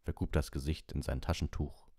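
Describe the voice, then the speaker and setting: A man's voice: very deep voice, fairly even intonation, fairly quick, vocal fry, warm tone, no echo, clean studio sound. A man reading a story aloud as scripted audiobook narration. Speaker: amateur audiobook reader.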